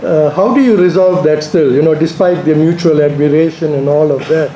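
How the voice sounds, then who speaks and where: Dark-voiced man asking a halting question. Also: a little high. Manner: hesitant, halting, polite. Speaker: audience member asking a question. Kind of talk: spontaneous academic question. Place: lecture room at a university. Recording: roomy echo, faint room noise.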